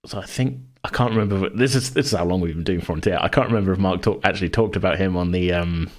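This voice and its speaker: A man with a distinctly deep-pitched voice talks casually as a podcast host. He rambles and thinks aloud, in the loose style of a chat about a game he runs. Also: fast, a little mellow.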